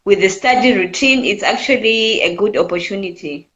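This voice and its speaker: A woman's voice: mid-range voice, normal speed, a bit bright, roomy sound, lo-fi sound. A woman in her twenties from Zimbabwe with a Zimbabwean accent, calling in to share her opinion in an earnest way that keeps returning to her points. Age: 20s. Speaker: caller. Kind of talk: call-in opinion chat.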